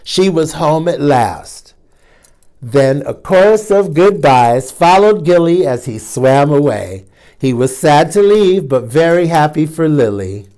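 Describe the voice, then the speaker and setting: Animated male storytime reader, slow and clear. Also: on the high side. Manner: animated, playful asides to young listeners. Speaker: storytime host. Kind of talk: children's storytime reading.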